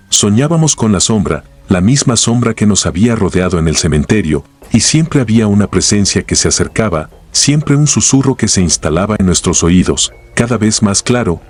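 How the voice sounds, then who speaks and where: A man narrating horror stories in a grave, measured, scripted way. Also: fairly low pitch, darkish tone, breathy edge.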